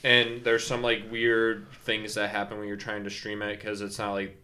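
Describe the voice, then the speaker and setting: A man with a low, bright, sharp-edged voice co-hosting a relaxed conversation. He talks in a matter-of-fact, knowing way. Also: normal speed. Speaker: podcast co-host. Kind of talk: casual chat.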